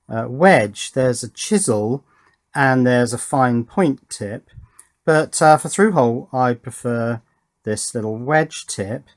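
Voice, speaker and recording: Man casually thinking aloud at work. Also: mid-range voice. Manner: unscripted, thinking aloud as he works. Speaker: host of an electronics build channel. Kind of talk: casual hands-on workbench commentary.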